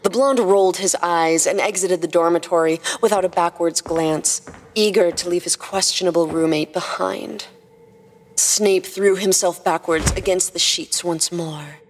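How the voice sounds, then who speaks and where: A woman's voice: on the low side, unhurried. A woman host reading humorous fan fiction as scripted narration. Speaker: podcast host and story narrator. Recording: long reverb, music behind the voice.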